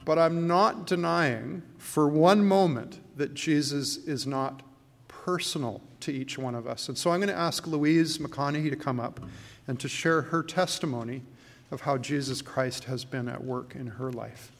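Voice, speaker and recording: Gravelly male preacher in a reverberant church. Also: a little high. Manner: measured preaching, introduces a speaker. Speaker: pastor. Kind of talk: sermon.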